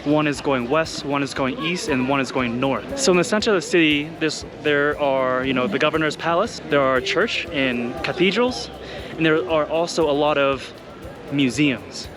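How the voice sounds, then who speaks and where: A man's voice: fairly high pitch, moderate pace, over music. A man from Thailand talking casually to his viewers as he walks city streets, loose and full of "like" and "you guys". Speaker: travel vlogger. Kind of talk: casual travel vlog.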